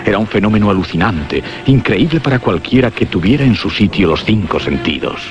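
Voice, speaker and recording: A man acting as the grave, deliberate narrator of a suspense and horror radio drama. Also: lowish voice, lively intonation, over music, natural voice. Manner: solemn, deliberate, theatrical. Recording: dull, noisy old radio drama recording.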